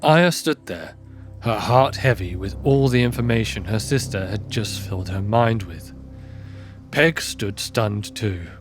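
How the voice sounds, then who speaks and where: A man narrating a scripted fantasy audio drama in a measured storytelling manner. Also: lowish voice, animated, music in the background.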